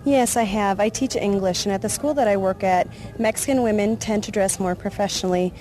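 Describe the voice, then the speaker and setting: A woman with an American accent giving plain, clear answers as an interviewee. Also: mid-range voice, brisk, darkish tone. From Minnesota, United States.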